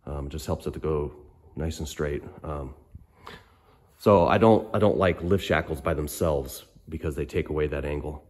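A middle-aged man with a hoarse voice from a cold, explaining a suspension lift in an unhurried, hesitant way with many pauses and ums, filmed on a handheld phone in a garage workshop. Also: very deep voice, darkish tone. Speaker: off-road fabricator. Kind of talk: casual technical walkthrough. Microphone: handheld phone camera.

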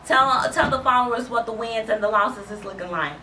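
A woman in her thirties hosting an interview show with brisk, cheerful presenter patter. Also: a little high, sharp tone. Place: small studio office. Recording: echoey room, mic far off, faint noise.

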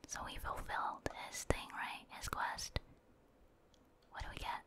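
Woman whispering softly, gaming ASMR. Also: measured pace. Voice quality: breathy, unvoiced whisper. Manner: casual, rambling, thinking aloud. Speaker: gaming ASMR video host. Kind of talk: whispered ASMR video game commentary.

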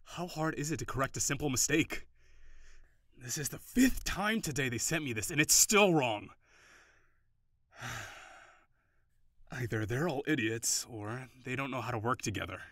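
A male voice actor gives a frazzled boyfriend home from a brutal office day, full of heavy sighs and muttered curses. He softens into gentle, teasing affection. The voice sits at an ordinary middle pitch, recorded dry with no room echo. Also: animated, a little slow, pauses often. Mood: irritated, exasperated. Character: stressed, overworked office boyfriend, gruff but tender. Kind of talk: audio roleplay.

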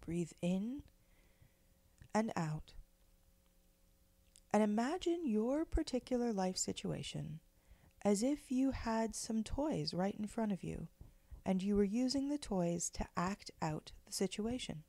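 A woman leading a guided meditation in a gentle, measured, soothing way, with pauses between phrases. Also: medium pitch, lively intonation, vocal fry, no echo. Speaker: guided meditation leader, spiritual director.

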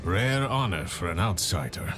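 An actor playing a weary, guilt-ridden soldier in a game, gruff but friendly, with wry, understated delivery. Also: male voice, low voice, animated, moderate pace, over music. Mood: wry, grave. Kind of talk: dramatic game dialogue.

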